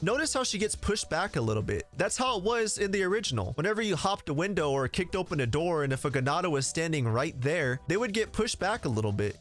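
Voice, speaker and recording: Animated high-pitched young man. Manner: emphatic, informal, repeats words for emphasis. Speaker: gaming video creator. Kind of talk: excited game reaction commentary. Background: music under the voice.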